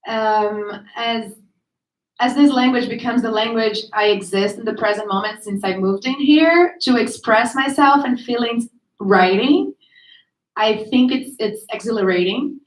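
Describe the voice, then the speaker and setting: Woman actor-writer, higher voice, candid and earnest; echoey room sound. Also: unhurried. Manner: earnest, self-conscious about her speech. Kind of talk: candid panel answer. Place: event room at a literary gathering.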